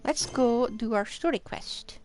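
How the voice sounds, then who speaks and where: A woman's voice: on the high side, unhurried, darkish tone. A woman streaming a video game, chatting casually with rambling, joking asides. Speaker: live streamer. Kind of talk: casual gaming livestream chat. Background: game music.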